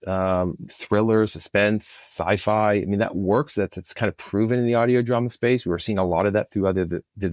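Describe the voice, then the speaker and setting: Deep-voiced man in an interview. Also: darkish tone, no echo, studio quality. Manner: hesitant, repeats words as he thinks. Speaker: producer, podcast guest. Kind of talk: casual interview conversation.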